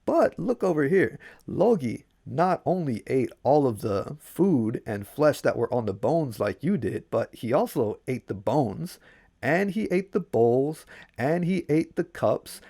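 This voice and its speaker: An easygoing giant king with an American accent, acted out by a male storyteller. He is calmly authoritative and talks in casual, conversational phrases at a middle pitch. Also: a little slow, creaky, full character voice. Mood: declaring.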